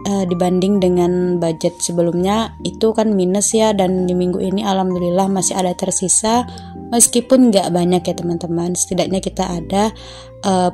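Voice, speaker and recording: A young adult woman, hoarse from a cough, giving a chatty voice-over for her personal finance vlog with frequent "eh" fillers. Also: on the low side, dark tone. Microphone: home voice-over mic.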